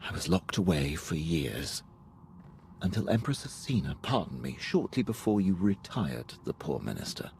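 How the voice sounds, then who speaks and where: An actor playing a formal, deferential and anxious imperial military officer in a video game, with measured speech. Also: male voice, sits low, very animated, creaky, a little mellow, a little hiss or hum, full character voice. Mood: formal, regretful. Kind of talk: cinematic video game voice acting.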